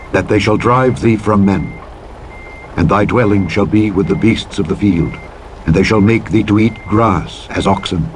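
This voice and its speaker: A man reading the Bible aloud as a narrator, in a solemn, measured, declamatory style. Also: sits low. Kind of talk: solemn scripture reading, King James English. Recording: truck engine and reversing beeps over reading. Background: truck simulator game vehicle noise.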